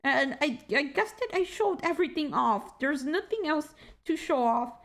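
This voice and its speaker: Squeaky high-voiced woman rambling through a tour. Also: lively intonation, normal speed. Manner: rambling, self-correcting, joking asides. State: tired. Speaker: gaming channel host. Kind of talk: casual game walkthrough commentary. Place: indoor room at a computer.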